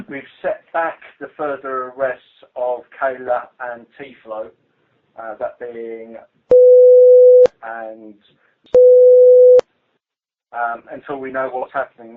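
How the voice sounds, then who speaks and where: A British man, a police officer, speaking hesitantly and informally on a work conference call over the telephone. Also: medium pitch. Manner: hesitant, halting. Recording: tinny phone conference line.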